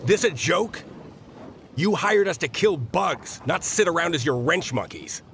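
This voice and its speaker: Gravelly, defiant mercenary boss. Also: male voice, on the high side, animated, unhurried, a bit bright, a little hiss or hum, full character voice. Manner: sardonic, drawling, blunt. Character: gruff, defiant male mercenary squad leader. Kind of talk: video game voice acting. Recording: game music behind the voice.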